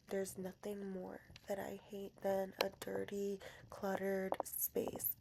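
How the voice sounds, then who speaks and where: A woman's voice: mid-range voice, creaky, a little mellow, slight background noise. A woman in her twenties from New Jersey with a New Jersey accent, Spanish her first language, chatting in a casual diary vlog voice-over with playful, emphatic repetition. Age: 20s. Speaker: vlogger.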